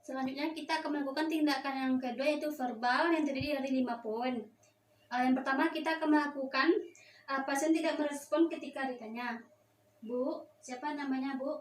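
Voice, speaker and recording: A young woman gives a step-by-step instructional demonstration as a student, with halting, hesitant delivery. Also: high voice, steady tone. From Indonesia. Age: young adult. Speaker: nursing student giving a practical demonstration. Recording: echoey room, distant mic, faint noise.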